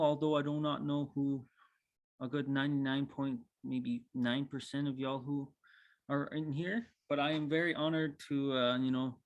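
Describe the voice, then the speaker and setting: A man in his twenties from northern Saskatchewan, a cultural coordinator giving a relaxed, humble talk over a video call, with many ums and uhs. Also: mid-range voice. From northern Saskatchewan, Canada. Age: 20s. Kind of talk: casual spoken presentation.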